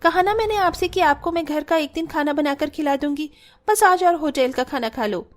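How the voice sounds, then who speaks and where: A woman's voice: high-pitched, normal speed, lightly acted. A woman playing a haughty, lazy rich young mother in a cartoon family story. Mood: coaxing.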